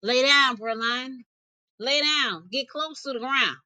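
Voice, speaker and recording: Storybook trickster rabbit, male, sly and unbothered, folksy dialect, bright, raised pitch, performed by a woman. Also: takes it slowly, no room sound, full character voice. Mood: coaxing.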